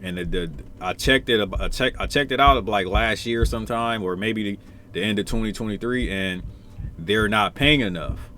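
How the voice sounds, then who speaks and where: A low-voiced American man talks off the cuff in casual commentary. He circles back and repeats phrases to drive them home. There is clear noise behind the voice. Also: normal speed, bright, forceful tone. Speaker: commentator, former air traffic controller. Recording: noticeable background noise.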